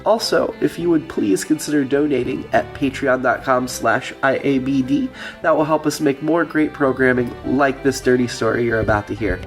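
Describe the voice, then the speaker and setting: A man's voice: medium pitch, fairly even intonation, moderate pace. A man hosting a podcast, giving a casual promotional introduction. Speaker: podcast host. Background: music.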